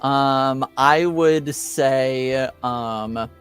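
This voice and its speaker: A man of medium pitch narrates as game master in a tabletop game. His phrasing is halting and improvised as he describes scenes and asks for rolls. Music plays behind his voice. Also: a bit bright.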